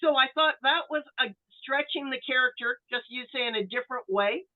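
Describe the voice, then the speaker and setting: A woman in a conversational podcast discussion, analytical and halting, repeating words as she works through her points. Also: high-pitched. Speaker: podcast panelist. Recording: thin, phone-like band-limited connection.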